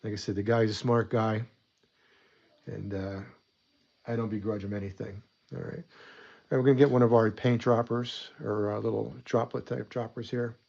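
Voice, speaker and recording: A man's voice: lowish voice, level tone, moderate pace, creaky, a little mellow, lo-fi sound. A man hosting a casual hobby weathering tutorial at his desk, chatty and rambling, a bit stuffy from a coming cold. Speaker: model weathering host.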